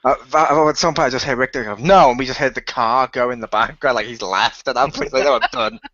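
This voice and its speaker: A man's voice: mid-range voice, brisk, a bit bright, no room sound. A man in a casual group chat, joking and swearing freely. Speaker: cast member. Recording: dull lo-fi audio.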